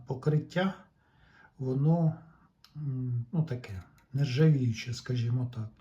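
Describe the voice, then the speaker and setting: Guy explaining repair stuff casually, slow n halting, mellow tone, bit of a dull recording. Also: mid-range voice. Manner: deliberate, halting, pauses mid-sentence. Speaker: tape recorder repair vlogger. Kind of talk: casual hands-on technical explanation.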